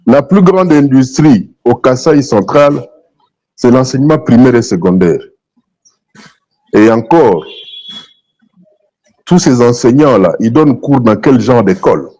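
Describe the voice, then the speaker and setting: A Congolese man speaks as a political guest in a dark, mellow voice at an ordinary male pitch. He argues his opinions emphatically, often repeating a phrase to press it home. The recording is dull and lo-fi. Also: animated, measured pace, long pauses. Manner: emphatic, repeats phrases, switches between French and Lingala. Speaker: political guest on a talk show.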